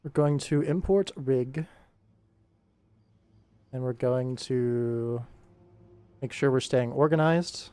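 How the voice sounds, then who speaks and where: A man's voice: mid-range voice, slow pace, pauses often, dark tone, clean tone, no room sound. Casual man rambling through a work stream. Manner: informal, rambling to himself and viewers. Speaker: streamer and animator. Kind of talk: casual work-stream commentary, thinking aloud.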